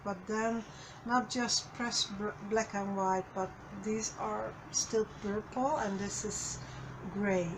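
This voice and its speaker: A woman with a slightly hoarse voice, hosting a casual craft tutorial over her desk, slow and halting, with many fillers and restarts. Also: mid-range voice, background noise. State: a little hoarse. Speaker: craft channel host. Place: craft desk, overhead camera.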